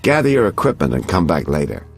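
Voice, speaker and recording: A seasoned detective in a fantasy game. The voice is extremely deep and gravelly, with big swings in intonation. He sounds self-assured and condescending. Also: huge pitch swings, quick pace, soft background music, full character voice. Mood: assured, defensive. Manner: confident, condescending. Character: self-assured seasoned male detective, smooth-talking and secretive. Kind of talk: video game voice acting.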